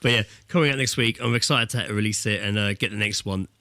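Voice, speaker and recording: A man's voice: fairly low pitch, quick pace, pushed and bright, no room sound. A British man co-hosting a podcast in casual chat, with blokey, sweary banter. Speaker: podcast co-host. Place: recorded remotely over an internet call.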